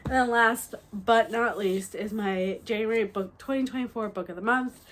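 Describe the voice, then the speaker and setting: A young woman with a slightly nasal voice from a cold, chatting excitedly through a book haul with fillers and restarts. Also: fairly high pitch, normal speed. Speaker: book vlogger. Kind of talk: casual book haul chat.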